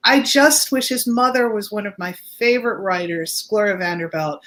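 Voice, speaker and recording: A woman's voice: medium pitch, moderate pace, a bit bright. A woman chatting casually as a guest on a show, effusive and appreciative in how she talks. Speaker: guest, journalist and author. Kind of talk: casual conversation.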